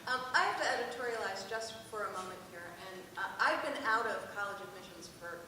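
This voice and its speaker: A woman's voice: mid-range voice, lively intonation, fast talker. A middle-aged American woman moderating a live panel, speaking earnestly and emphatically to an audience. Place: auditorium at a public school event. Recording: roomy echoing hall, distant mic, background noise.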